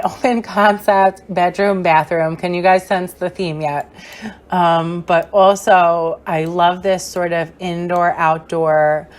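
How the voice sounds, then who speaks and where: A young woman with a hoarse, fading voice, giving a chatty, rambling gameplay tour voice-over with many fillers. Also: fairly low pitch. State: hoarse, losing her voice. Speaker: gaming creator.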